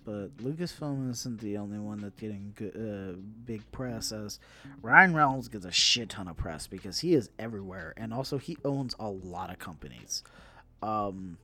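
A man's voice: fairly low pitch, animated, moderate pace, pushed and bright. A man co-hosting a podcast in a casual, conversational style, often restarting and repeating his phrases as he goes. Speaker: podcast co-host. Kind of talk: casual chat about entertainment news.

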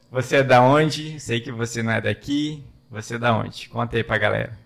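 A man's voice: medium pitch, vocal fry. A man hosting a casual interview, joking and laughing often. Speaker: interviewer, music school owner.

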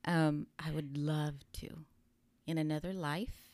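Woman, co-host, bilingual asides, fairly low voice, dry close mic. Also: steady tone, takes it slowly, no room sound. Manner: warm back-channeling, code-switches into Spanish. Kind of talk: casual conversational podcast chat.

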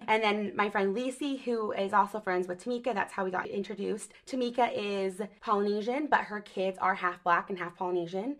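Earnest woman hosting a podcast. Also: mid-range voice. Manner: earnest, affirming listener. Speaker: podcast host. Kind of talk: casual conversation.

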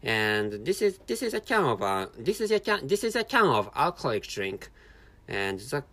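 A man's voice: medium pitch, very animated, normal speed, a bit bright, no echo. A tired man from Japan speaking English with a Japanese accent as a non-native speaker, hosting a casual solo monologue with many restarts and repeated words. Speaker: podcast host.

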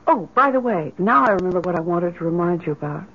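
A woman's voice: lowish voice, animated, fast. An actress playing a grief-stricken, inconsolable middle-aged mother in an impassioned, theatrical old-time radio drama style. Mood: insistent. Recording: muffled vintage radio broadcast.

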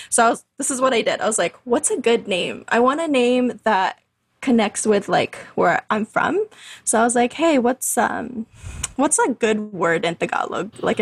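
Young woman guest rambling through her story, kinda high, gravelly fry, dry close mic. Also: no echo. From Canada. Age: 20s. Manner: chatty, animated, many fillers and laughs. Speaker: podcast guest. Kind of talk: casual interview storytelling.

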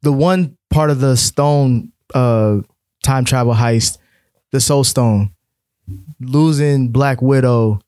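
A man's voice: medium pitch, slow, a little mellow, dry recording. Matter-of-fact man, dry close sound. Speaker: podcast panelist reviewing a film. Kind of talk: casual chat.

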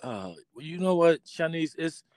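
A man's voice: fairly high pitch, very animated, moderate pace, a little mellow, dry recording. A middle-aged man with an American accent, talking casually and reminiscing as an interview guest, informal and often repeating his phrases. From Pontiac, Michigan, United States. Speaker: interview guest, performing artist.

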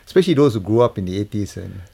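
Older man, creaky voice, relaxed tone, casual storytelling. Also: fairly low pitch, rapid-fire. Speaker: guest, TV music composer and mixer. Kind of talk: casual interview, telling work anecdotes.

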